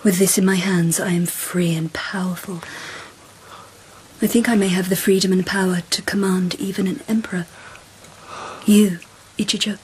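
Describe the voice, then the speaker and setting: An actress plays a young empress in an intimate radio drama. Her voice is soft, breathy and deliberate, often sinking toward a whisper, yet it carries bold command. A clear ambient noise sits behind her. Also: medium pitch, steady tone, natural voice, poor audio. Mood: bold, exhilarated.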